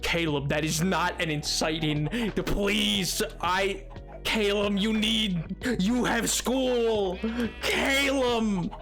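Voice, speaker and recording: A man streaming a game and chatting casually with his viewers, excitable and rambling, with many restarts and sudden yelps. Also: sits high, slow pace, creaky, soft background music. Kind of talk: casual live-stream chat.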